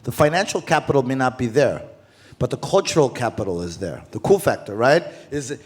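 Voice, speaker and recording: A man who grew up in Zimbabwe, hosting a music conference panel and asking long, animated questions. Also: medium pitch, fairly quick. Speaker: panel host. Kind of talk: panel moderation.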